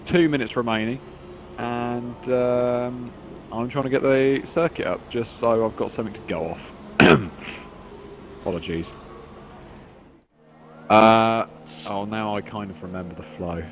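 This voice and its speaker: A man's voice: on the low side, unhurried, pauses often, slight background noise. Nasal-sounding man with a cold. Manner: rambling, self-mocking, chatty. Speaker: sim racing commentator. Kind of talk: casual race commentary.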